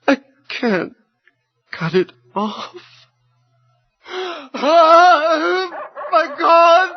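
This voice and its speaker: Man acting a desperate scientist, swinging pitch. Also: heavily acted. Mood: panicked anguish. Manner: urgent, melodramatic first-person telling. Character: desperate, panicked male scientist on the run. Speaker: narrator and lead character. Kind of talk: suspense radio drama monologue. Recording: dull lo-fi audio under loud music. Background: loud music.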